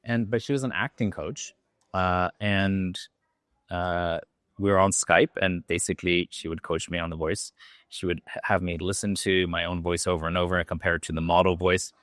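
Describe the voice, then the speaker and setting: A man's voice: deep voice, fairly even intonation, moderate pace, a bit bright, no echo, studio quality. A Japanese man speaking English with a learned American accent, a talkative podcast guest. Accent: American, learned from an acting coach. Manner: loose and talkative, fillers and restarts. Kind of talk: podcast interview.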